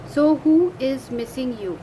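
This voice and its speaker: A woman with an Indian English accent, whose first language is Hindi, reads cards in a casual, halting way, pausing often to search for words. Her voice sits fairly high and her pace is slow. The recording carries clear background noise. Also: mellow, low-quality recording. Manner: hesitant, many uh fillers and self-corrections. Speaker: card reader. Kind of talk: casual card reading to camera.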